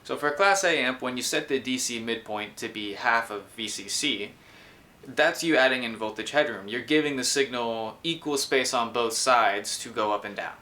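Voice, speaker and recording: Bright-voiced young man explaining electronics. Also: medium pitch, normal speed. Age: 20s. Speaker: host explaining his electronics project. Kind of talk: informal technical explanation to camera and in voice-over. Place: small room indoors.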